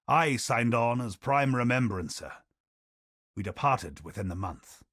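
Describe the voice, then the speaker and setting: An actor playing a solemn male chronicler of a doomed colony, in a grave, measured manner of narrating, recorded dry. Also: mid-range voice, fairly bright tone, dry recording, close to an everyday voice. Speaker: game character narrating lore. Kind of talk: scripted lore recitation.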